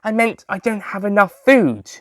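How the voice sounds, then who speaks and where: Casting: male reader as tearful young woman, pitched up, pleading and downtrodden, for an audio story, dry recording. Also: lively intonation, normal speed, no echo. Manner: pleading, sad. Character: desperate, tearful homeless young woman, about twenty-five.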